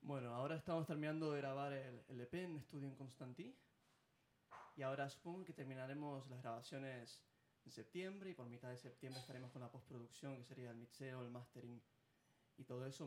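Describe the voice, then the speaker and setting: A man's voice: medium pitch, darkish tone, roomy sound, slight background noise. A man from Argentina, a band musician, talking casually and easily in a radio interview. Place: radio studio.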